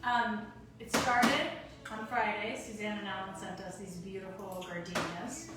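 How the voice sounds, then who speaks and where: A woman's voice: medium pitch, little pitch movement, unhurried, heavy background noise. A woman chatting casually with a friend, telling a story with enthusiasm. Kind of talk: casual chat. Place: home, recorded remotely. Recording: echoey room, far from the mic.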